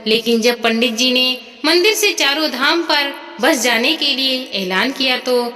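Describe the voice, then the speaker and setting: A woman voicing a kind, self-sacrificing elderly mother in a story, speaking gently and earnestly with affection. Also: high voice, expressive, unhurried, voice pushed down, full character voice. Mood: apologetic, tender. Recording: long reverb, music behind.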